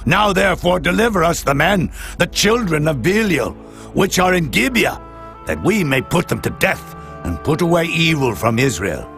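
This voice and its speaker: Gravelly, accusing Israelite messenger. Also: male voice, fairly high pitch, very animated, normal speed, fairly bright tone, faint music behind, full character voice. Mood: stern demand. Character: stern, accusing Israelite messenger demanding justice. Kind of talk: dramatized scripture acting.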